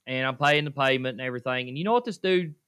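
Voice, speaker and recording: A man's voice: mid-range voice, expressive, fast talker, fairly bright tone, clear, resonant tone. A man, co-hosting a podcast and telling self-deprecating stories with laughs. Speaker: podcast co-host. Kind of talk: casual podcast storytelling.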